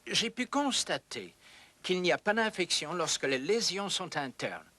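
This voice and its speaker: Gentleman surgeon, cartoon dub, forceful clear-edged tone, slightly high, formal, thoughtful, courteous. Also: big pitch swings, unhurried, no room sound, exaggerated voice. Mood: earnest, thoughtful. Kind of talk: dubbed educational cartoon acting.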